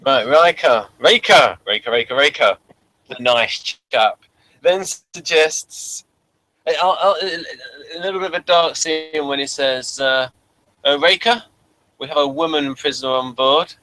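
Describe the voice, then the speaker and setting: A man hosting a casual review show, talking in a halting, rambling way and reading summaries aloud. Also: on the high side, pushed and bright, rough recording. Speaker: podcast host.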